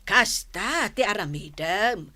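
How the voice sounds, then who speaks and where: A woman playing an older storekeeper who gives measured, admonishing peacemaking advice in a Philippine radio drama. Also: medium pitch, animated, bright, forceful tone, heavily acted. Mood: advising. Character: Nana Mila, calm older neighbourhood storekeeper giving peacemaking advice.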